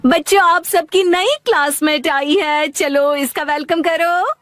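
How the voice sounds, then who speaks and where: High-voiced kindergarten teacher. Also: female voice, slow pace, fairly bright tone, soft background music. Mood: encouraging. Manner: coaxing, instructive. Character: patient young female kindergarten teacher. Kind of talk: Hindi cartoon dub acting.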